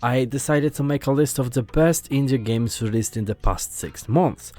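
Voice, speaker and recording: A man's voice: mid-range voice, normal speed, warm tone, no room sound. A young man from Poland with a Polish accent, earnestly narrating a scripted gaming video as host.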